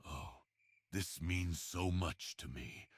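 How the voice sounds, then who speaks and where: A man playing a tender, shy man in love in an intimate ASMR roleplay, speaking haltingly and earnestly with a husky voice. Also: low voice, no echo, full character voice. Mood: tender, hopeful.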